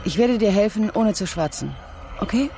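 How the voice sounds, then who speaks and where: An actress playing a gentle, reflective woman newly in love in a radio drama. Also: mid-range voice, expressive, moderate pace, close to an everyday voice. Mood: friendly, offering. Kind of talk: literary radio drama monologue.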